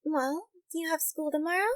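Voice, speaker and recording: A woman playing a teasing, easily flustered young fox-tailed girl in an anime-style audiobook, with a playful, high-strung delivery. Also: brisk, no echo, clean studio sound, natural voice. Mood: playful, casual.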